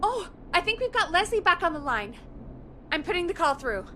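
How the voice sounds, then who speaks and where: A woman producing a late-night radio show in a horror game. She is supportive and concerned, with a notably high voice. There is slight noise in the background. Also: sing-song, fairly quick, pushed and bright, exaggerated voice. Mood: admiring. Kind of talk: video game voice acting.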